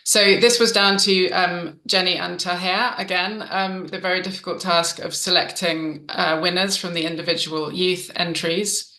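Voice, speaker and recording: A woman hosting a literary prize event, introducing guests and pausing often with ums. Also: fairly low pitch, fairly even intonation. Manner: warm, with frequent ums and restarts. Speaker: event host. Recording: roomy reverberant sound.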